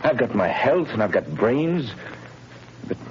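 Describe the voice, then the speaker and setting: A man's voice: medium pitch, sing-song, unhurried, slight background noise. A man playing a middle-aged, desperate jobless family man in a 1930s radio drama, earnest and pleading. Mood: weary. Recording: dull old radio recording.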